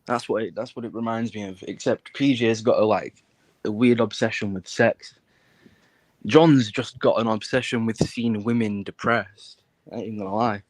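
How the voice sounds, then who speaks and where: A man's voice: lowish voice, steady tone, normal speed, poor audio. A British man in casual conversation, talking in a blunt, insistent way and swearing freely. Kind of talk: casual chat.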